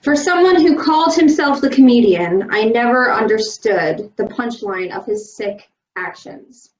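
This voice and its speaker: Actress as exasperated adult daughter, high pitch, animated, reproachful, echoey room, dull lo-fi audio. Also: female voice, a little slow. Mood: indignant. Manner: sullen, reproachful. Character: exasperated, indignant adult daughter of retired heroine. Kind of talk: dramatic fan-made audio acting. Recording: roomy, dull home call audio.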